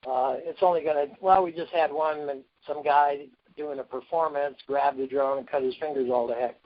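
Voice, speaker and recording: A man with a slightly high voice talks over a muffled, lo-fi conference line. He pitches like a company executive, plain-spoken and salesman-like. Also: steady tone, normal speed. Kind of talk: conference call product pitch. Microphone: phone. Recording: dull lo-fi conference phone line.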